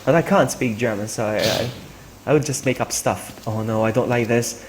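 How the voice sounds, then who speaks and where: A man streaming a video game, commenting live and chatting with viewers in an excitable, exclamatory way, often repeating his phrases. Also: medium pitch, moderate pace, a little hiss or hum, low-quality recording.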